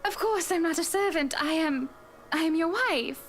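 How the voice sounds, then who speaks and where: A woman's voice: extremely high pitch, animated, moderate pace, a crowd in the background. A woman playing an anxious, devoted wife in a visual novel game, eager to serve and speaking in formal, old-fashioned phrases. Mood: pleading, devoted.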